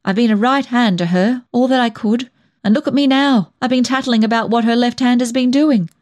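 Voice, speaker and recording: Severe older woman, blunt, solemn scold with a soft heart, for storybook reading, played by a female narrator. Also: on the high side, brisk, pitched above the performer's own voice, close to an everyday voice. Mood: flustered, earnest. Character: stern, severe older female cousin, indignant, soft underneath.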